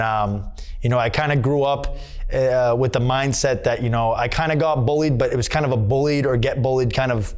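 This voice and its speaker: A male boxer, talking at a middling pitch. He rambles through his answers, backing up and restarting as he leans on fillers. It is a candid sit-down interview. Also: clean tone. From Massachusetts. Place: boxing gym.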